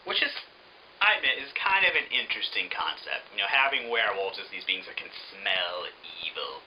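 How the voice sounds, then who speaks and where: A man giving an opinionated, informal book review in an exasperated, sarcastic, animated manner. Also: on the low side, a little hiss or hum, poor audio.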